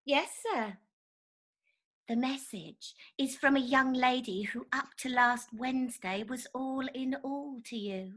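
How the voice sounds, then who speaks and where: A woman playing a jealous, sharp-tongued young parlour maid in a staged reading of a Victorian farce. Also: sits high, slow pace, exaggerated voice. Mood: jealous, resentful.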